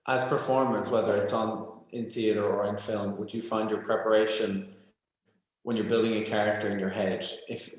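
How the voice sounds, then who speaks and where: A man moderating a festival Q&A, earnest and reflective, sometimes searching for words. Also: fairly low pitch. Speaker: interviewer. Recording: very echoey room.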